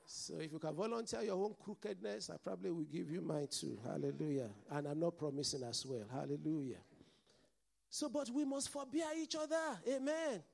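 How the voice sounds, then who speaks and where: A man's voice: fairly high pitch, expressive, normal speed, vocal fry, a little mellow. A man with a mixed Nigerian and Scottish accent, preaching a sermon as pastor and calling out to the congregation for responses.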